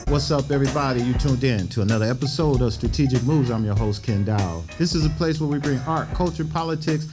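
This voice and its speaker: A man's voice: mid-range voice, quick pace, music in the background. A man hosting a podcast interview in a studio, easygoing and slangy. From Cleveland, Ohio. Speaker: podcast host. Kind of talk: casual interview chat.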